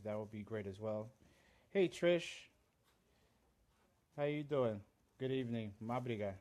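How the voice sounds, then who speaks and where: A man's voice: medium pitch, fairly bright tone. A man from Honduras whose first language is Garifuna, hosting a casual live-stream chat, earnest, greeting viewers by name and mixing in Spanish greetings. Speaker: livestream host.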